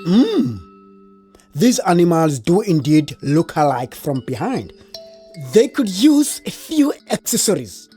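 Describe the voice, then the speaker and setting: An actress playing a playful, grand creator spirit in children's audio theatre, speaking theatrically with musing hums. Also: female voice, on the low side, very animated, slow, warm tone, soft background music.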